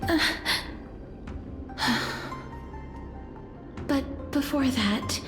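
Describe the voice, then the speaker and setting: Troubled young heroine, slow delivery, hesitant, earnest, formal, video game drama, echoey, music behind. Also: female voice, high-pitched. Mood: hesitant wonder. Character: earnest, troubled young lady in a fantasy epic. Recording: roomy echo with music behind.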